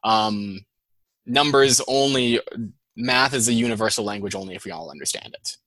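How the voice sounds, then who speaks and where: Male podcast guest, Boston accent, crisp forward tone, chatty explainer. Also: fairly low pitch, sharp tone, no echo, rough recording. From Boston, USA. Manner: chatty, fast-flowing explanations with many "like"s. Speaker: guest, data scientist. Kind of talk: casual interview chat.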